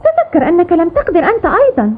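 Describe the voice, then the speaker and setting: A woman's voice: very high-pitched, huge pitch swings, racing, a little hiss or hum, heavily acted. A woman playing a curious, eager young boy adventurer in a dubbed cartoon. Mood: curious, determined. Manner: eager, exclaiming, inquisitive. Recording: muffled tinny cartoon soundtrack with music.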